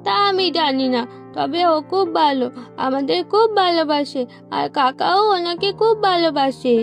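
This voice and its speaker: Very high-pitched, pert village girl. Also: moderate pace, faint music behind. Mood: wary. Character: cheeky, outspoken young village girl. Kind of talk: cartoon voice acting in a folk-tale drama.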